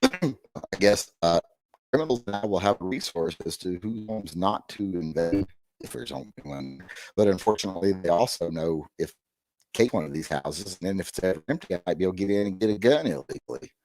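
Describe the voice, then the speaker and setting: A middle-aged man with a hoarse, gravelly voice hosting a rambling live-stream chat with wry, self-deprecating asides. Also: sits low, lively intonation, brisk, a little mellow, rough recording. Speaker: host.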